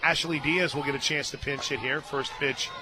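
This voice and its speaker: A man calling a softball game on radio as play-by-play announcer, mixing pitch calls with folksy, conversational asides. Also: steady tone, normal speed, background noise. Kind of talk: live softball commentary mixed with casual chat. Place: stadium radio booth.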